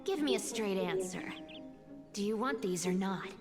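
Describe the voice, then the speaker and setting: A woman's voice: medium pitch, lively intonation, normal speed, music in the background, natural voice. A woman playing a sly, brash female thief in a video game, impatient and sardonic. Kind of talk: video game character acting.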